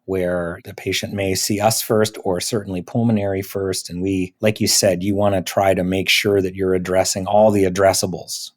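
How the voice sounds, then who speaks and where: A man's voice: low voice, little pitch movement, moderate pace, few pauses. A man speaking as a podcast host, conducting an interview with medical guests. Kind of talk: interview hosting.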